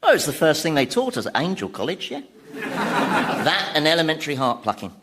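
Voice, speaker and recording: Sardonic British Devil, lively delivery. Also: male voice, fairly high pitch, fairly quick, very noisy. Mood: breezy teasing. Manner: sarcastic, mock-innocent, drawling asides. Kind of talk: radio sitcom acting.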